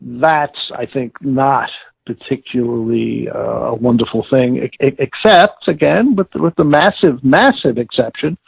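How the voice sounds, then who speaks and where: Man on a tinny phone line, gravelly. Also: mid-range voice, no echo. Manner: halting, emphatic, repeats words for stress. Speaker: interview guest, audiobook expert. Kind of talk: reflective interview conversation.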